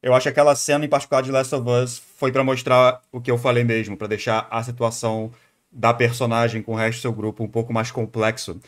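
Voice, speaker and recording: A man's voice: medium pitch, little pitch movement, fast talker. A Brazilian man chatting casually and informally as a live streamer, rambling through his commentary. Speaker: live streamer reacting to a video. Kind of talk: casual livestream chat and commentary.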